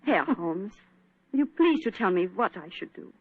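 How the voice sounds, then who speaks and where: A woman's voice: medium pitch, big pitch swings, moderate pace, breathy edge, dry recording. An actress playing an anxious young female concert pianist from Vienna, speaking broken English with a German accent, in 1940s radio acting style, heard on a dry, tinny old radio broadcast.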